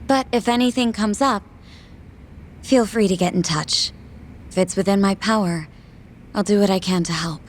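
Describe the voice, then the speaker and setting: A woman playing a composed, guarded young prosecutor in a video game cutscene, measured and polite with a dry edge. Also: medium pitch, a little hiss or hum, lightly acted. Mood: guarded concern.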